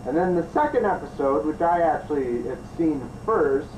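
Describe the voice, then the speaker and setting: A man's voice: on the high side, expressive, normal speed, a little mellow. A man hosting a review show, giving improvised commentary. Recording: roomy, distant mic, clearly noisy.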